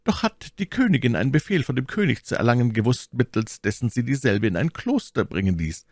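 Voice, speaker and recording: A man's voice: mid-range voice, sing-song, a little fast, a little mellow, dry recording, studio quality, voice pushed up. A man voicing a scheming, vengeful noblewoman who speaks with formal, insistent deference in a storybook reading. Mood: deferential, calculating.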